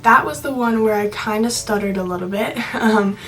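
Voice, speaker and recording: A woman's voice: medium pitch, normal speed, fairly bright tone, distant mic, faint music behind. A young woman talking in a chatty, reassuring, informal way, giving casual advice to viewers. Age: young adult. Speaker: video blogger.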